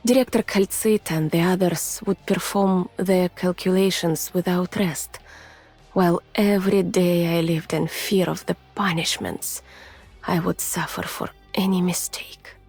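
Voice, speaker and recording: Slow breathy russian lady scientist, gentle and weary, game character, very close and whispery, music behind. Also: lowish voice, fairly even intonation, heavily acted. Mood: haunted, somber. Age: adult, mature. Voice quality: soft, breathy, often near a whisper. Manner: measured, formal, restrained. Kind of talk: soft, intimate ASMR-like game voice acting.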